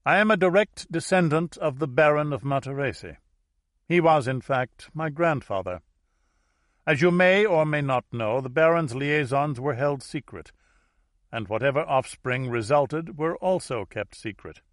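A man voicing a formal, self-assured Dutch heir who addresses his relatives in a deliberate, commanding way, as in an audiobook thriller. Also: on the high side, expressive, no echo, studio quality, voice pushed up. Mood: smooth, imperious.

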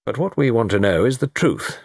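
Male narrator as stern police colonel, middle-aged, brisk official questioning, swooping intonation, storybook character, dry close sound. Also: mid-range voice, sing-song, creaky, darkish tone, dry recording, voice pushed up. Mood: firm, brisk. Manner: hesitant "uh" pauses, clipped official questioning.

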